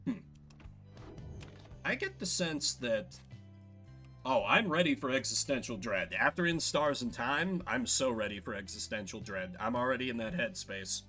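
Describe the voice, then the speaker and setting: A man's voice: mid-range voice, lively intonation, moderate pace, pushed and bright, soft background music. A man giving casual livestream game commentary, musing and thinking aloud as he plays. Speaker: streamer playing and voicing a game.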